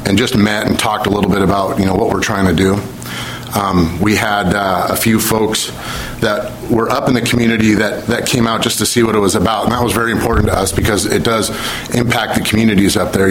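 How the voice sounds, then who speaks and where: A man in his thirties from Washington State, making a public comment at a commission meeting, earnest and halting, with many fillers. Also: lowish voice, a little hiss or hum, low-quality recording. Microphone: speaking away from a mic.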